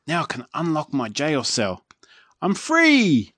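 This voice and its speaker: A sneering storybook villain cat, put on by an Australian man, raised in pitch and snapping out orders. The sound is close and dry. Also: huge pitch swings, slow, bright, forceful tone, breathy edge, no echo, heavily acted. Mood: bossy. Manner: bossy, sneering. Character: sly scheming villain cat.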